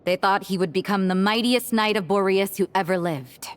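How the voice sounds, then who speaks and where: A woman's voice: medium pitch, moderate pace, clean tone, lightly acted. A woman voicing a cool, blunt young nun in a video game, with a dry, matter-of-fact delivery.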